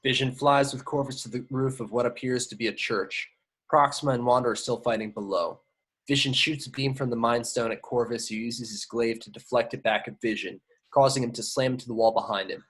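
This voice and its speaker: A man's voice: mid-range voice, steady tone, a little fast, fairly bright tone, husky. A man reading screenplay action lines at a table read, matter-of-fact with the odd stumble. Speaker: stage-direction reader.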